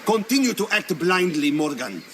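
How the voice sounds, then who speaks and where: A man's voice: sits high, big pitch swings, moderate pace, a bit bright, a little hiss or hum, full character voice. An actor playing a proud, scornful Ottoman commander in a video game cutscene, declaiming and taunting. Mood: contemptuous.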